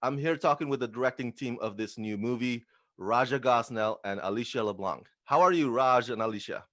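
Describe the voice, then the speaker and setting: A man's voice: medium pitch, fairly quick, a bit bright. A man working as an interviewer, putting prepared questions to his guests.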